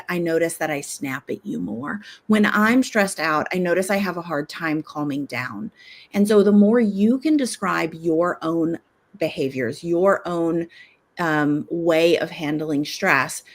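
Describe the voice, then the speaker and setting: Lively middle-aged woman giving parenting advice. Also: fairly low pitch. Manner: animated, laughs easily, acts out parent dialogue. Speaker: parenting expert guest. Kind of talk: conversational advice.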